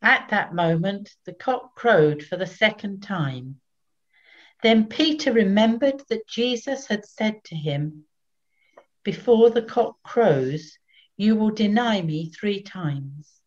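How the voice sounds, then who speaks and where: Older woman, measured scripture narration, slow delivery, lo-fi webcam audio. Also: medium pitch, lively intonation. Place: small plain room at home.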